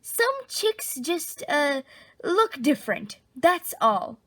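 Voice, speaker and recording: Woman acting female schoolteacher, storybook, high-pitched, swooping, placating, brisk and dismissive. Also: sing-song, fairly bright tone, dry recording, heavily acted.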